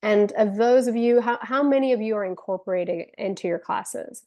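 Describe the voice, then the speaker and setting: A woman's voice: medium pitch, brisk, warm tone. A woman presenting an online teacher-training webinar in an earnest way, with some fillers and restarts, speaking into a computer mic on a video call. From family from Venezuela, raised in Spain and the UK. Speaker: webinar presenter, company co-founder.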